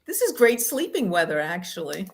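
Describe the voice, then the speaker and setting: A woman's voice: fairly low pitch, very animated, moderate pace. An American woman from the East Coast, speaking as a show co-host and giving introductions. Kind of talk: upbeat show introduction and guest introduction.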